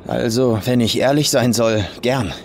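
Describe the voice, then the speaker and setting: A man's voice: mid-range voice, unhurried, slight background noise, natural voice. A man voicing a guarded hitchhiker, no longer young, who speaks in casual, clipped phrases in an audio horror story. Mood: eager, casual. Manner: casual, clipped colloquial speech.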